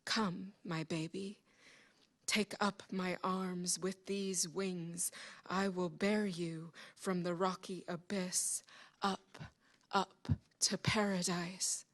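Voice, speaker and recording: A woman giving a solemn, measured literary reading of poetry. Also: on the low side, steady tone. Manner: slow, solemn, measured delivery. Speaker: poetry reader. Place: public library event.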